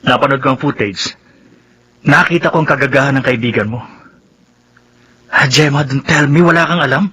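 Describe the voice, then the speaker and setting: An actor playing an upset, earnest young brother in a Filipino radio drama, with a Filipino accent. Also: male voice, medium pitch, moderate pace, pauses often, pushed and bright, slight background noise, natural voice. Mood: indignant.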